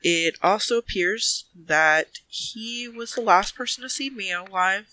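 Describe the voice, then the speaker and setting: A man's voice: high-pitched, unhurried, pushed and bright, no room sound, poor audio. A man playing a tabletop role-playing game, improvising casual dialogue with polite, hedging questions.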